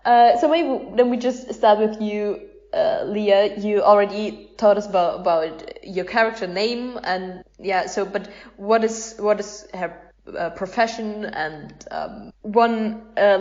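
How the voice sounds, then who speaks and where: Slow-paced woman hosting a casual podcast. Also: medium pitch, a little mellow. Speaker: podcast host. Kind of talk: casual chat, interviewing. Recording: dull lo-fi sound.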